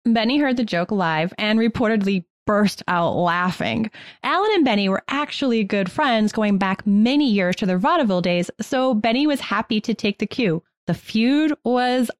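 A woman's voice: medium pitch, expressive, moderate pace, no echo. A woman with a mid-range voice co-hosts a history podcast. She delivers scripted narration, recorded dry with very little room around her. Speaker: podcast co-host.

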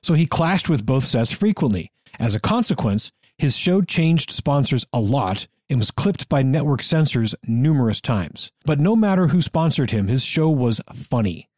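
A man's voice: medium pitch, animated, normal speed, hardly any pauses, a little mellow, dry recording, clean studio sound. A man co-hosting a podcast, telling history in a scripted but conversational style. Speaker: podcast co-host.

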